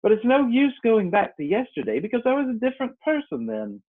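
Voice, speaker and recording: A man's voice: very high-pitched, wildly swooping pitch, fairly quick, pitched above the performer's own voice, full character voice. A man voicing Alice, a polite, curious young girl in a storybook reading, light and wondering. The sound is thin and tinny. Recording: tinny phone-like lo-fi audio.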